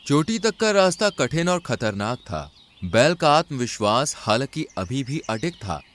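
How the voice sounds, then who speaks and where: A man's voice: mid-range voice, very animated, a little slow, a bit bright. A man narrating a scripted children's animal story.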